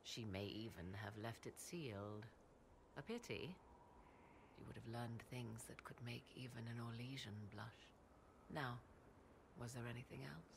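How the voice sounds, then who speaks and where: Gravelly, enigmatic proud sorceress. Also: female voice, a bass voice, measured pace, noisy background, full character voice. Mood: wry. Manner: theatrical, archaic and formal phrasing. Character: proud, enigmatic apostate sorceress, arcane advisor. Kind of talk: video game dialogue acting.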